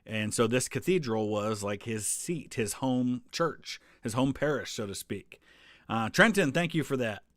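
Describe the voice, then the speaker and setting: A man's voice: medium pitch, lively intonation, unhurried, fairly bright tone, no echo. A man talking casually to a live chat audience, rambling from one point to the next with plenty of ums and restarts. His voice sits in a middle register and is recorded close and dry, with no room sound. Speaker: history channel host answering live chat. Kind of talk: casual live stream chat over travel photos.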